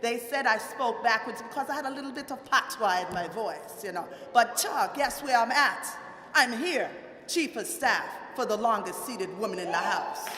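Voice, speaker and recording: A woman's voice: a little high, lively intonation, normal speed, noisy background. A middle-aged woman from Montserrat giving an informal, motivational public speech, playfully putting rhetorical questions to her audience. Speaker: guest speaker, political chief of staff. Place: large hall at a live event. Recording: echoey hall with faint crowd.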